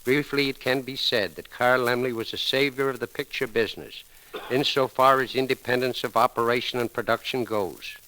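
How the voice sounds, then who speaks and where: On a crackly 1930s radio recording, an American man reads a formal eulogy. His voice is sharp and forceful at a middling pitch, with solemn, oratorical delivery from a script. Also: steady tone, moderate pace. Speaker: newspaper editor giving a eulogy. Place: radio broadcast.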